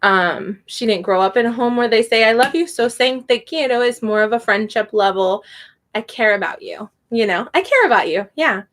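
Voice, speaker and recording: A woman's voice: fairly high pitch, expressive, moderate pace, a bit bright. A young woman teaching a casual spoken lesson, chatty and prone to tangents, laughing often. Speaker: Spanish teacher.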